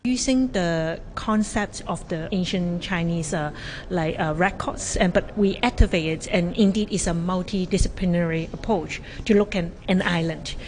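A woman explaining something as an interviewed curator, haltingly searching for her words. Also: on the low side, a little mellow, slight background noise. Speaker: museum curator being interviewed.

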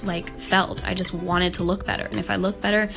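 A young woman from Hong Kong speaks earnestly and openly as an interview guest, her voice low for a woman and gravelly with fry. She leans on "like" a lot as she talks. Music plays behind her. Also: little pitch movement, a little fast. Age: young adult. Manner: earnest, open, many "like" fillers. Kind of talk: casual interview talk.

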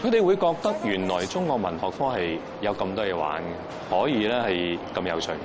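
A man's voice: low voice, sing-song, brisk, noisy background. A man with a Hong Kong Cantonese accent, talking reflectively as a schoolteacher in an interview. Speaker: secondary school Chinese teacher.

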